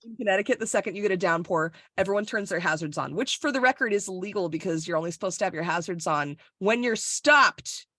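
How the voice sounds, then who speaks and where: A woman's voice: mid-range voice, lively intonation, fast talker, few pauses, bright, forceful tone, no echo, clean studio sound. A woman from Florida co-hosting a podcast, chatting casually and bluntly over a video call, swearing freely and laughing often. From Florida, USA. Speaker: podcast co-host. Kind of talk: casual chat. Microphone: recorded over a video call.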